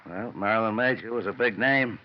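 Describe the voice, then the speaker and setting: Actor as low-voiced, laconic police lieutenant. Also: male voice, fairly quick, a little hiss or hum, close to an everyday voice. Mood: dry, wry. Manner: dry, laconic, wry. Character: gruff, dry, wry male police lieutenant. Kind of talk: 1940s-50s radio detective drama acting. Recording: dull vintage radio recording.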